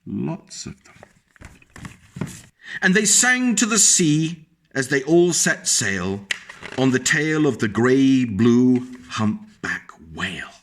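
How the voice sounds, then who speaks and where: Animated man reading to small children. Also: mid-range voice. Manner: animated, pauses to explain pictures to small listeners. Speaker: storyteller for young children. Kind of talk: reading a picture book aloud to children.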